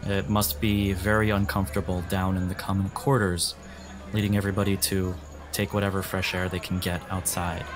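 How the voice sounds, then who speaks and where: A man running a tabletop game as game master, narrating scenes descriptively in a measured way. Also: sits low. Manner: measured, addresses players in second person.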